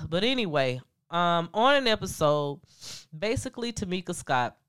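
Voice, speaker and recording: Female gossip host: deep, congested voice, very smooth and resonant, chatty and frank. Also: very animated, unhurried, fairly bright tone. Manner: chatty and frank, many ums and asides to listeners. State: stuffed-up nose. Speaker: podcast host. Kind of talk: casual celebrity gossip commentary.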